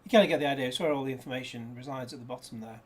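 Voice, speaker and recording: A man's voice: mid-range voice, rapid-fire. Rambling man unboxing a disc. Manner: rambling, jokey asides. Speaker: disc reviewer. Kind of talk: casual unboxing chat.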